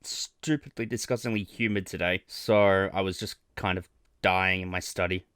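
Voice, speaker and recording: A man with an Australian accent hosts a casual interview. His voice sits fairly low but has a bright, forward edge, and the sound is very dry and close. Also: fairly even intonation, moderate pace, smooth, no echo. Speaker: interview host.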